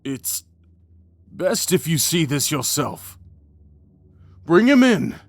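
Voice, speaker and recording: A man's voice: fairly high pitch, very animated, slow, creaky, dry recording, full character voice. An actor playing an earnest, troubled soldier reporting to his lord in a fantasy audio drama, respectful and hesitant. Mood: troubled, urgent.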